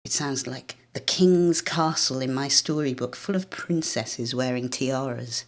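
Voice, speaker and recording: A British woman with a low voice narrates a short story in the first person. Her delivery is that of an actress, dramatic and literary. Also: measured pace.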